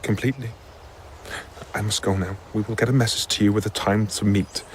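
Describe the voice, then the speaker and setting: An actor plays a determined underground rebel in a radio drama. His low voice is firm and brusque, heard over faint ambient noise. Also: steady tone, a little fast, close to an everyday voice. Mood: terse, determined. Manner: terse, firm.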